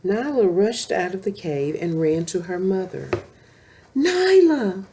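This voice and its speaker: Female children's story reader: mellow, expressive, lively intonation, creaky edges. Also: lowish voice, a little hiss or hum. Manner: pauses to explain pictures to listeners. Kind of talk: expressive read-aloud storytelling.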